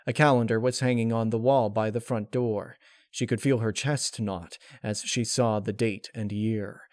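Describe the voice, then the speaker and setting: A man's voice: fairly low pitch, a little mellow, no echo, studio quality. A man reading a piece of fan fiction aloud as a scripted narration. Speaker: storyteller.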